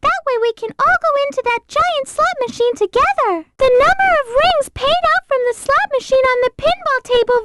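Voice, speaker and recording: A tiny, well-mannered rabbit girl from a video game, speaks in an extremely high, girlish voice. She sounds sweet, earnest and childlike. Loud noise runs behind her voice. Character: small, sweet, polite young rabbit girl. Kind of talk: video game character voice clips.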